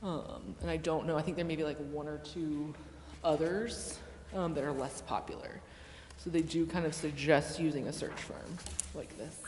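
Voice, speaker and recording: An American woman giving frank, measured explanatory remarks as a staff member at a public meeting. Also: low-pitched, steady tone, a little mellow. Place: indoor council chamber. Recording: reverberant meeting chamber with background noise.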